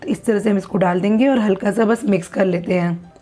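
Mellow-voiced woman walking through a recipe. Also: mid-range voice, steady tone, breathy edge, soft background music. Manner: unhurried, repetitive instructional talk. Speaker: cooking channel host. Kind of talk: step-by-step cooking demonstration. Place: home kitchen at a gas stove.

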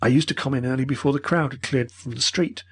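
A man reading an old male professor who speaks in a breathless, earnest, pleading way, with a husky voice. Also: mid-range voice, fairly even intonation, quick pace, pitched above the performer's own voice, natural voice. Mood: explaining.

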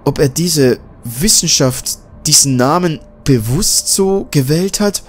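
A man's voice: a little high, animated, slow pace, faint music behind, pitched above the performer's own voice. A man voicing a frightened young woman journalist who speaks urgently and anxiously. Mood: alarmed.

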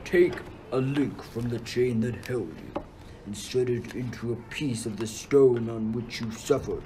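A man's voice: mid-range voice, measured pace, close to an everyday voice. A man playing a vengeful king of the gods in a radio play, pompous and declamatory. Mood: commanding. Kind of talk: amateur radio play acting. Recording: slightly noisy amateur recording.

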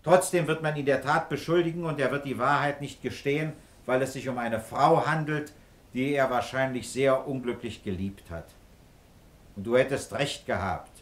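An actor playing a calm, fatherly middle-aged police commissioner in a German radio crime drama, patient and persuasive as he coaxes and probes. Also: mid-range voice, normal speed, roomy sound, natural voice. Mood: calm persuasion.

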